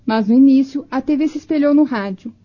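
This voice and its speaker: High-voiced Brazilian woman narrating, slightly muffled. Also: brisk, dark tone. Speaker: radio documentary narrator. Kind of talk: scripted narration.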